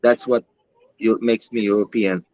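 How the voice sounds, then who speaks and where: A man's voice: on the low side, fairly even intonation, darkish tone, dry recording. Man on a dull lo-fi line. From Lebanon. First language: Armenian. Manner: earnest, halting, restarts words. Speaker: guest caller on stream. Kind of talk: casual chat.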